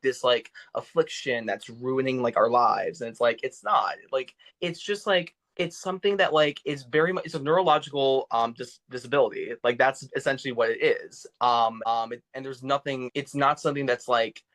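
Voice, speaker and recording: A young man talks casually as a podcast guest, his medium-pitched voice halting through many fillers and restarts. He sounds thoughtful and earnest about his experiences. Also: a bit bright. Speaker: podcast guest, actor and vocal coach. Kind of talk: casual interview talk.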